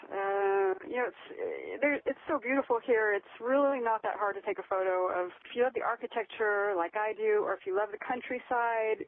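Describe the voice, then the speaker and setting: A woman's voice: medium pitch, normal speed, hardly any pauses, dry recording. An American woman, a blogger and author, telling her story in a casual interview, enthusiastic and full of "you know"s. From United States. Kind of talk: casual interview over the phone. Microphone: telephone. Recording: tinny phone line, dry.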